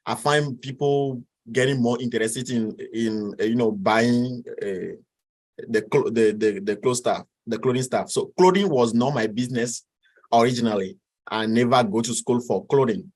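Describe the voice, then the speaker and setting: A man in his twenties from Togo with a Togolese French accent, answering interview questions in a halting way, with many fillers and restarts. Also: medium pitch, a little mellow. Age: 20s. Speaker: guest.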